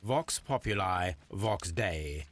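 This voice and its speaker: An actor playing a shrewd, authoritative detective in a video game, speaking in a measured, formal and deliberate manner. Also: male voice, low voice, animated, a bit bright, slight background noise, full character voice. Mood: solemn, magnanimous. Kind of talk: dramatic video game voice acting.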